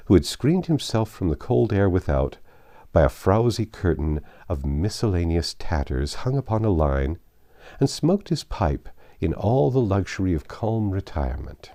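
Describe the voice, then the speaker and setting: Deep, expressive male storyteller. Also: dry recording, studio quality. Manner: measured, dramatic, deliberate phrasing. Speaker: narrator. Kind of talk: literary storybook narration.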